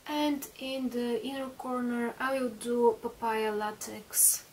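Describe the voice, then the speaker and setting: A woman's voice: fairly high pitch, level tone, slow pace, recorded from a distance, a little hiss or hum. A woman in her thirties, a native Bulgarian speaker, chatting through a makeup tutorial in a small bedroom with lots of fillers and restarts. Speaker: beauty vlogger.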